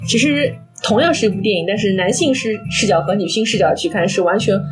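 A woman's voice: mid-range voice, lively intonation, quick pace, low-quality recording. A young woman from Shanghai co-hosting a podcast, talking casually and reflectively about films in a chatty storytelling way. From Shanghai, China. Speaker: podcast co-host. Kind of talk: casual film discussion. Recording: music playing behind the voice.

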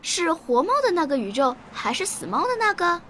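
A woman's voice: very high voice, sing-song, fairly quick, sharp tone, slight background noise, heavily acted. An actress playing a young, curious, bookish schoolgirl in a Chinese radio drama. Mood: curious, earnest.

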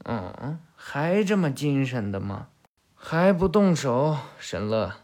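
A man voicing a scheming young male rebel leader in a retold anime story, speaking low and calm. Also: slow pace. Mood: menacing.

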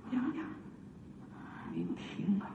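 A man's voice: slow, noisy background, lightly acted. A man acting an obsequious, scheming eunuch servant in a theatrical Chinese radio drama. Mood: conspiratorial. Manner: servile, conspiratorial. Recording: phone-like lo-fi sound in long reverb.

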